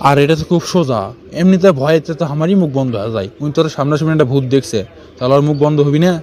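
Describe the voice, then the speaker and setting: A man's voice: a little high, very fast, darkish tone, slight background noise, close to an everyday voice. A man with a northern Bangladeshi accent playing a cheeky, grumbling young friend in an amateur cartoon. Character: cheeky young man nagging his stingy friend for treats.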